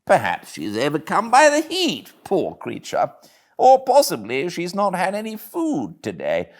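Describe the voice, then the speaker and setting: Guy doing a posh kindly british gent in a storybook, raised pitch, hearty and fussing nicely. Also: wildly swooping pitch, measured pace, exaggerated voice. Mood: concerned, pitying. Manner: solicitous, jovial. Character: kindly, hearty upper-class gentleman motorist.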